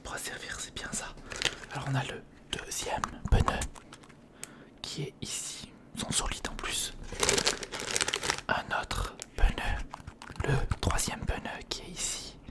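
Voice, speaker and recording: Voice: slow pace, long pauses. Whispering ASMR creator, close and intimate. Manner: whispering, addressing the viewer intimately and informally. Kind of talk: ASMR, whispered narration of a toy unboxing and build. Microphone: sensitive recorder microphone, close.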